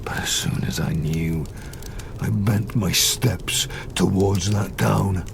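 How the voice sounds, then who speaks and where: A lonely, embittered creature of gothic radio drama. His speech is slow and creaky, heavy with anguish and vengeance. Faint noise sits behind the voice. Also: lowish voice. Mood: brooding, vengeful. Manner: slow, grave, anguished storytelling. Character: wretched, vengeful male man-made monster, lonely and bitter. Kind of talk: gothic audio drama acting.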